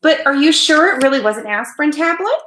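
A woman's voice: mid-range voice, lively intonation, moderate pace, a bit bright, roomy sound, voice pushed up, close to an everyday voice. A woman voicing a fretful, doting mother mouse from a children's storybook, worried and tender.

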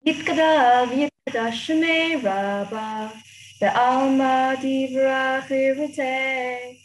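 A teenage girl chants a prayer in a high voice. It comes over a home video call, with room echo and faint noise. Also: steady tone, normal speed. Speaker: confirmand leading a prayer. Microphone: computer mic on a video call.

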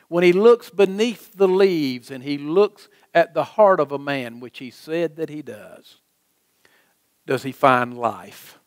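A middle-aged American man preaching a sermon as a pastor, speaking in an emphatic, deliberate manner. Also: a little high, no room sound, clean studio sound. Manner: emphatic, deliberate, with rhetorical questions and repetition. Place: church sanctuary, preaching from a lectern.

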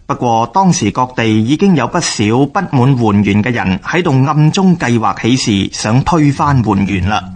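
A man's voice: medium pitch, animated, normal speed, few pauses. A man with a Hong Kong Cantonese accent reading scripted history narration for a radio drama.

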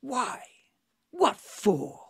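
A brooding, embittered middle-aged man from a stage drama, read by a man. The voice is rough and creaky, and it rises and falls dramatically with scorn and envy. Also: very high-pitched, wildly swooping pitch, extremely slow, a bit bright, full character voice. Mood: envious, bitter. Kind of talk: amateur play reading. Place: at home on a video call. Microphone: video call microphone.

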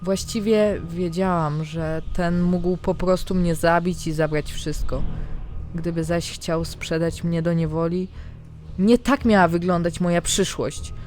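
A woman narrating a scripted fantasy diary in the first person, with dramatic, expressive storytelling. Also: fairly low pitch, measured pace. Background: background music.

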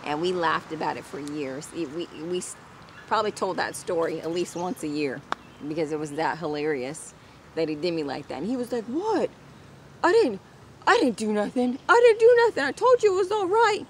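Low-voiced woman telling stories outdoors. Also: expressive, fairly quick, a little mellow. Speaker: vlogger. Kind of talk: casual personal storytelling to camera. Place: outdoors in a home garden. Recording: clear outdoor ambient noise.

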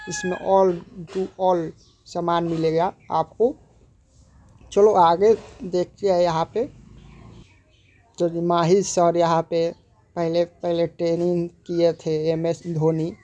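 A man's voice: fairly high pitch, mellow. A man, narrating a casual walking vlog in a repetitive, explanatory way into a phone held at arm's length. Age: 20s. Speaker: vlogger. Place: outdoors at a sports ground and roadside. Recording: very noisy outdoor traffic and ambience.

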